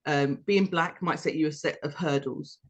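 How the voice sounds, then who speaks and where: Measured, reflective British woman. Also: sits low. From United Kingdom. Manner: measured and reflective, building points step by step. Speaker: podcast co-host. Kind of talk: explanatory podcast discussion.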